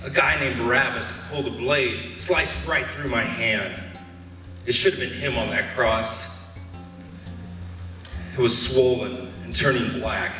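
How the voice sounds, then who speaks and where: Male actor as haunted Roman guard, church drama, forceful and earnest, roomy sound with faint music. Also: medium pitch, measured pace, pauses often. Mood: amazed, intense. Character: shaken, remorseful Roman guard officer. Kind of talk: church stage Easter drama monologue. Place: church hall stage performance. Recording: echoey hall, distant mic, faint music.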